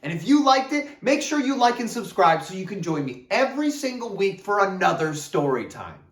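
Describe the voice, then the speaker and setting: A roomy, distant recording of a man hosting story time for kids. His voice is high and lively, with an upbeat delivery. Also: moderate pace. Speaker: children's story time host. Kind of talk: upbeat sign-off to young viewers.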